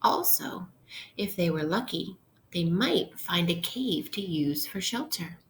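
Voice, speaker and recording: Female primary teacher, read-aloud lesson style, slightly low pitch, unhurried instructional delivery, slight background noise. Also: lively intonation. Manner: instructional, posing questions to listeners. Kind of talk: interactive read-aloud lesson for young children.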